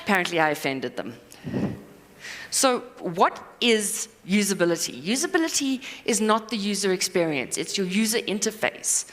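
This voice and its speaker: A woman giving a live conference talk, rambling and self-deprecating with quick asides and apologies. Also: medium pitch, fairly bright tone. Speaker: conference speaker. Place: conference hall. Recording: hall reverb.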